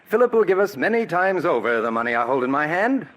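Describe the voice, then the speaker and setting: An actor in a 1940s radio drama playing a scheming male blackmailer, smooth, calculating and menacing, with a husky voice. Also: a little high, very animated, fast talker, a bit bright, lo-fi sound. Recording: old radio broadcast recording.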